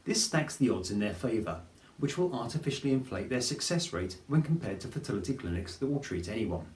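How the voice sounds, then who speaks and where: A man, presenting a scripted explainer to camera in a clear, measured way. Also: medium pitch, big pitch swings, a little mellow. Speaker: fertility advice presenter. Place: plain studio with grey backdrop. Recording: roomy studio echo.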